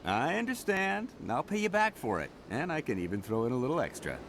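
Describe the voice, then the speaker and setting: A man's voice: medium pitch, big pitch swings, fairly quick. An actor playing a strained, pleading man in a video game, agitated as he speaks. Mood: pleading, resigned. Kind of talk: video game dialogue acting. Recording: clear city background noise.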